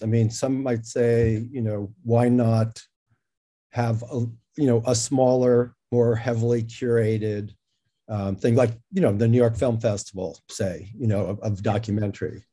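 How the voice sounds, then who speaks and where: A man's voice: medium pitch, normal speed, mellow. A man hosting an interview, asking questions in a casual, tentative and rambling way. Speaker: interviewer, session host.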